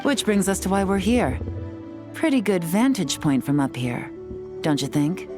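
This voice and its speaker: Cool, confident spy woman for a game: low register, assured and instructive, music underneath. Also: sing-song, a little slow. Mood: assured, businesslike. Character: cool, shrewd adult female intelligence agent, confident and composed. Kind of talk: video game voice acting.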